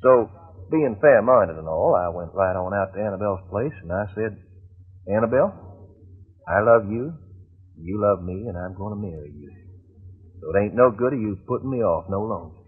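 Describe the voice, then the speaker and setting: An actor playing a talkative, good-natured backwoods soldier with an American Southern accent, folksy and rambling, in old-time radio drama style. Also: male voice, lowish voice, lively intonation, moderate pace, long pauses, breathy edge. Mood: earnest storytelling. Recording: muffled, narrow-band old radio recording.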